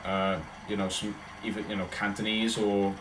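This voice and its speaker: A man's voice: fairly low pitch, little pitch movement, normal speed, roomy sound, background noise. A man with a Welsh accent, chatting casually and rambling on a livestream as he answers his viewers. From Wales. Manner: rambling, chatty, talks in loose run-on thoughts.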